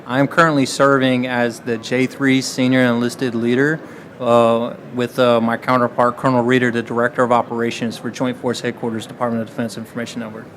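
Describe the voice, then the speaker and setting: A man's voice: mid-range voice, level tone, fairly bright tone, a little hiss or hum. Man from Texas answering on a panel. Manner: halting, many fillers and restarts.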